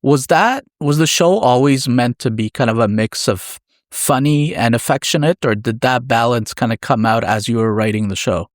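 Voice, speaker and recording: A man's voice: mid-range voice, moderate pace, few pauses, dry recording, studio quality. A man hosting an interview podcast, speaking casually and feeling his way through his questions.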